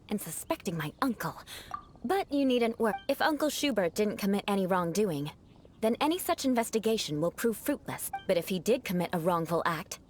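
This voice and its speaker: An actress playing a proud young aristocrat in a video game, haughty and formal, with precise wording. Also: female voice, medium pitch, lightly acted. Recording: game music behind the voice. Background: music.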